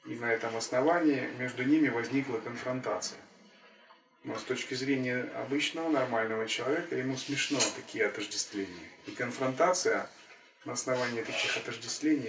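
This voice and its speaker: A man speaking as a spiritual teacher in a calm, measured way, returning again and again to the same phrases. His voice sits at an ordinary pitch. The recording is roomy and a little distant from the mic. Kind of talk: spiritual talk.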